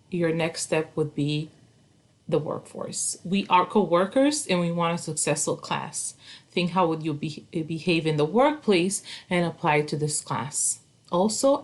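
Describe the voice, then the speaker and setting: Woman instructor, lowish voice. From Romania. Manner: earnest, structured and a little formal, lifting the start of each new point. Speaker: college instructor. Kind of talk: course welcome video.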